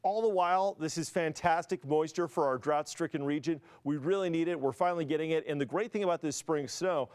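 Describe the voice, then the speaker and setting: Male American weathercaster, slightly high pitch, rapid conversational delivery, explains as he goes. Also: smooth. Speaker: TV weather forecaster. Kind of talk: conversational broadcast weather forecast.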